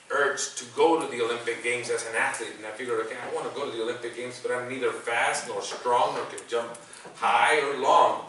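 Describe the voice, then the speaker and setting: A man in his forties speaks English with a Salvadoran Spanish accent, chatting easily to a class and poking fun at himself. His voice is bright and forceful, heard from a distance through a very echoey classroom with a faint hiss behind it. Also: mid-range voice. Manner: self-deprecating, conversational, restarts often. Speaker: guest speaker, sports broadcaster. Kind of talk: public talk to students. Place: university classroom.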